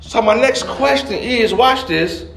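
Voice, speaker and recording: A man's voice: extremely high pitch, measured pace, vocal fry, fairly bright tone, a little hiss or hum. A man preaching a sermon as a pastor, colloquial and emphatic, repeating phrases and catchphrases to drive points home. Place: indoor church before a responding congregation. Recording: roomy live church echo.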